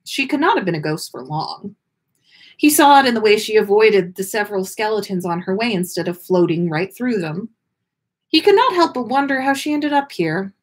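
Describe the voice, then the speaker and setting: Woman podcast host reading fan stories out loud, super expressive, playful, voice goes all over. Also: medium pitch, normal speed. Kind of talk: animated story reading with casual chat.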